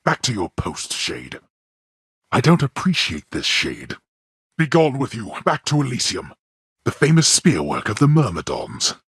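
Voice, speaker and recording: An actor playing a stern, ancient god-king of the underworld in a video game, a bitter father who speaks with formal, imperious, measured disdain in a deep, gravelly voice. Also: huge pitch swings, a bit bright, dry recording, clean studio sound, heavily acted. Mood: reproving. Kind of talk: theatrical video game voice acting.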